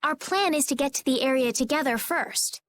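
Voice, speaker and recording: A woman voicing a polite, gentle but determined young princess in an animated role-playing video game. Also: high-pitched, moderate pace, no room sound, lightly acted. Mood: earnest, polite. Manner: polite, sincere, hesitant. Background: faint background music.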